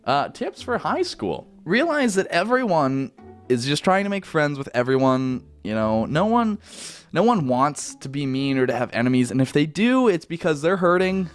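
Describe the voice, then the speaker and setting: A man's voice: a little high, big pitch swings, moderate pace, soft background music. A young man from Canada with a Canadian accent, answering viewer questions in a rambling, laid-back way that keeps correcting itself. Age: young adult. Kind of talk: casual Q&A chat.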